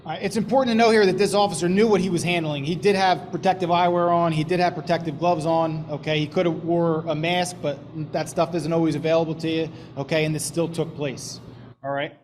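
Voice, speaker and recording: A man's voice: a little high, steady tone, a little fast, a little mellow, a little hiss or hum. A man, a police sergeant from New Jersey, giving a plain-spoken presentation into a microphone at a public meeting, checking in with "okay?". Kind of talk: public presentation to a school board. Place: meeting room. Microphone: handheld microphone.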